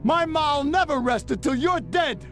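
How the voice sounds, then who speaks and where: Raging high-pitched villain killer. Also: male voice, animated, a little slow, music in the background, heavily acted. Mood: raging taunts. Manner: shouting, vengeful, taunting. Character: raging, taunting male villainous hired killer. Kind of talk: video game villain acting.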